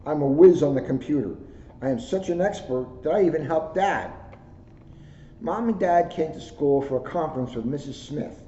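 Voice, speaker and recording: A man, a police officer, reading a children's book aloud with jokey, expressive storytelling and casual asides. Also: on the high side, normal speed. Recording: roomy echo, muffled audio, faint noise.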